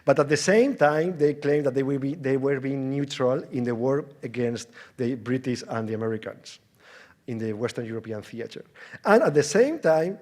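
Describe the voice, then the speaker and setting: Halting male academic giving a conference lecture. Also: medium pitch, darkish tone. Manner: halting, with stammered false starts and repeated words; explains through informal, conversational asides and rhetorical questions. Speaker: academic presenter.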